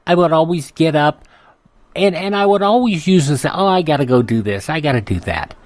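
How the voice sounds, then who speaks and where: A man's voice: on the high side, lively intonation, normal speed, dry recording. An older man hosting a radio show, reading an ad in a rambling, folksy, conversational way. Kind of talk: conversational ad read and show introduction.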